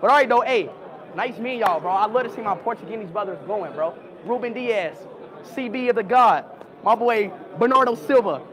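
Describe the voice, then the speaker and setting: A young American man streaming live at a crowded indoor gala, loud, excitable and full of slang, talking over people. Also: high-pitched, normal speed. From United States. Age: young adult. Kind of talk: excited livestream chatter. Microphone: small lapel mic. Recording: loud crowd chatter around.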